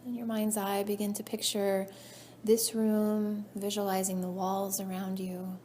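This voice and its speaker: A woman leading a guided meditation as a yoga nidra teacher, soothing and measured, her phrases trailing off softly. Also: mid-range voice, level tone. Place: indoor class room. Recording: faint background noise, live class recording.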